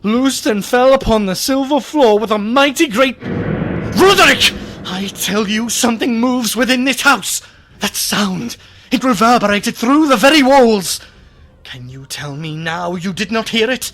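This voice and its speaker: An actor playing a horrified gentleman narrator in a gothic radio play, telling the tale with dramatic dread. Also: very high-pitched, animated, measured pace, slight background noise, full character voice. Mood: tense reading, then shaken. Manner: dramatic, dread-filled storytelling.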